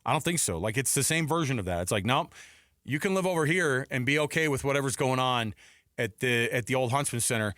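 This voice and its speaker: A man's voice: medium pitch, lively intonation, brisk, a bit bright, no room sound. A man co-hosting a sports talk radio show in an emphatic, informal, opinionated way, often repeating himself, on a close studio mic. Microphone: close broadcast studio mic.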